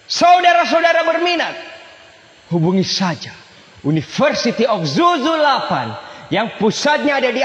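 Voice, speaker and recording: A grandiose crooked rector in a stage satire, really high voice, slow drawn-out speechifying, big reverby hall. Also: male voice, a bit bright, muffled recording, full character voice. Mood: salesman-like. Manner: theatrical, grandiose, drawn-out declamation with sudden swings. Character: pompous scheming fake-degree university rector, self-styled saviour god.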